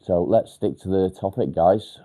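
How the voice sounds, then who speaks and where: A low-voiced man chats bluntly with his live viewers, going straight to the point. His tone is deeply mellow and dark, and a blocked nose makes him sound nasal and stuffy. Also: measured pace. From England. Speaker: livestream host. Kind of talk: casual live chat answering viewer comments.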